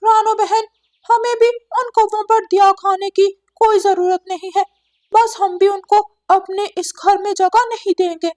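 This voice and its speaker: A woman's voice: extremely high pitch, fairly even intonation, a little slow, mellow, no echo, studio quality, pitched above the performer's own voice. A woman voicing an earnest, sensible male parrot who leads the birds in a cartoon. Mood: firm. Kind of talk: cartoon character acting.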